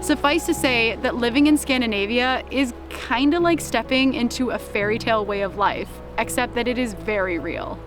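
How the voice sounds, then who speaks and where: Young woman, slightly high voice, reflective vlog voice-over, steady music bed underneath. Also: normal speed, hardly any pauses. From Canada. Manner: calm, heartfelt, with small laughs. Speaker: vlogger.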